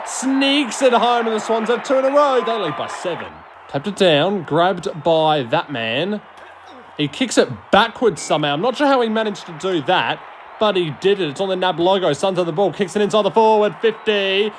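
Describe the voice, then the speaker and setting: A man giving excitable, colloquial play-by-play sports commentary in a blunt manner, often answering his own questions. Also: high voice, normal speed. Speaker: amateur football commentator. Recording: loud crowd noise behind the voice. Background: stadium crowd ambience.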